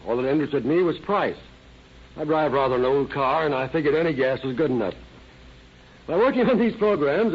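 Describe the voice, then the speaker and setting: A man giving an earnest, folksy scripted testimonial as an actor in a 1930s radio advertisement. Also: a little high. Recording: dull old radio recording, faint noise.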